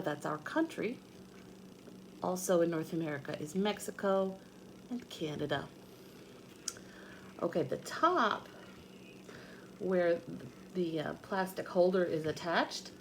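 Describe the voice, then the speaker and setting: A woman's voice: fairly low pitch, a little hiss or hum, poor audio. A woman teacher reading aloud to young children, explaining things patiently in simple words with repetition and questions. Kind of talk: read-aloud lesson with explanatory asides for children.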